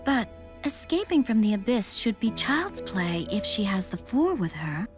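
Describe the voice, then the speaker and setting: A woman's voice: medium pitch, sing-song, moderate pace, natural voice. An actress playing a breezy, self-assured female spirit guardian in a video game, with a playful, airy manner. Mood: puzzled. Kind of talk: English video game voice acting. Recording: music under the voice.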